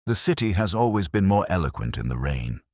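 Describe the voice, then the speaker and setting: A man playing a weary, erudite, melancholy police detective who narrates his story in a measured, literary first person. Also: deep voice, very animated, darkish tone, no room sound, studio quality. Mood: wry, reflective. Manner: measured, brooding, wistful.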